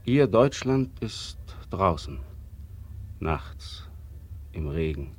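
An actor playing the solemn, bitter narrator of a 1940s radio play, speaking gravely and with deliberate emphasis. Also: male voice, low voice, sing-song, heavily acted. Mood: solemn, emphatic. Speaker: prologue narrator.

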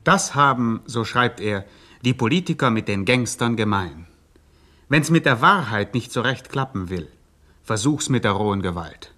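A man's voice: mid-range voice, lively intonation, moderate pace, sharp tone. An actor playing a self-important gangster reciting his memoirs sententiously in a radio drama.

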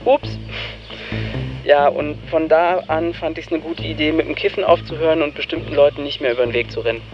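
An actor playing a wry young slacker who narrates in the first person, colloquial and confessional with hesitant asides. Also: male voice, a little high, fairly even intonation, fairly quick, muffled recording, over music, natural voice. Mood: sheepish, confessional. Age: young adult.

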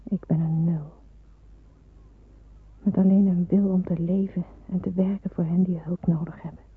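An actress playing an anguished, dutiful and fearful young nurse in a Dutch radio play, hesitant and brooding, with a breathy, husky voice. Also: female voice, fairly low pitch, level tone, normal speed. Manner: hesitant, brooding, self-questioning. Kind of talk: Dutch radio play acting, inner monologue. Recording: muffled tinny old radio recording.

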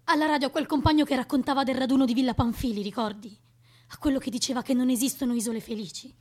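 A worried young woman speaks in a radio drama with a high voice. She is caring and earnest, with emotion and reproach in how she talks. The delivery is naturalistic. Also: darkish tone.

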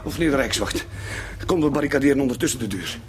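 An actor playing an alarmed father in a radio drama, with a Flemish accent and a dramatic delivery that moves between hushed and agitated. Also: medium pitch, expressive, fast, very noisy, lightly acted. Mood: alarmed, urgent. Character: alarmed adult man, a father, facing killer rabbits.

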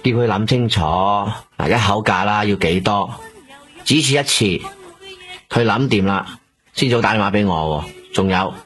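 An actor playing a male nightclub boss in a radio drama, speaking Hong Kong Cantonese, threatening in a smooth, bargaining tone. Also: fairly low pitch, unhurried, a bit bright. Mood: menacing.

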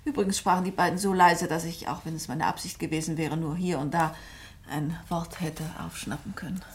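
A fairly low female voice from an actress plays a composed, observant novelist in a radio drama. She phrases things precisely and matter-of-factly, cool yet considerate toward her listener. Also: steady tone, a little fast, close to an everyday voice. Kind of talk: German radio play dialogue.

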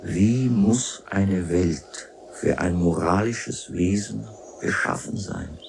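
A man playing a brooding, idealistic poet in a radio drama, with halting, declamatory speech. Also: sits low, gravelly, darkish tone, a little hiss or hum, heavily acted. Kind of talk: literary radio drama acting.

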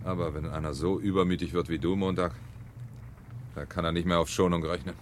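A man's voice: low voice, steady tone, fairly quick, a little hiss or hum, close to an everyday voice. Smug, menacing male fire captain played by an actor. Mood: scornful. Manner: mocking, pontificating. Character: smug, menacing fire captain lecturing his fallen subordinate. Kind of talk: 1970s German radio drama acting.

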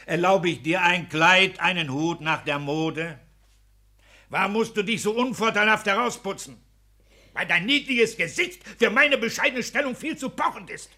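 An actor in a 1960s German radio play playing a petty, tyrannical civil servant husband, pompous, pedantic and self-pitying in a declamatory manner. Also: male voice, high-pitched, lively intonation, moderate pace, bright, forceful tone, full character voice. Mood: indignant ranting.

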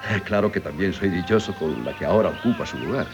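A man's voice: deep voice, lively intonation, fast, lo-fi sound, music in the background. An actor with a Castilian accent playing a genial, hesitant middle-aged country doctor and father in a 1970s Spanish radio drama. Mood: wistful. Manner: hesitant, many filler sounds.

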